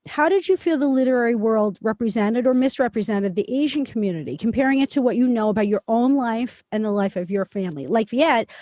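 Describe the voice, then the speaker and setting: A woman's voice: a little high, warm tone, no echo. A woman hosting a podcast, presenting introductions and putting questions to a guest. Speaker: podcast host and interviewer. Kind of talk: scripted podcast introduction and interview.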